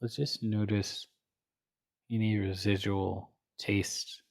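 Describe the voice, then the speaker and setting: A man leading a guided meditation as a teacher, gently and unhurriedly, leaving frequent pauses between phrases. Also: lowish voice, level tone, gravelly.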